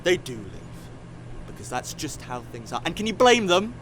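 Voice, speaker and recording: An actor playing a bitter, cruel older time-travelling scientist in an audio drama, intense and scornful. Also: male voice, a little high, very animated, moderate pace, fairly bright tone, a little hiss or hum. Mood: bitter, cold.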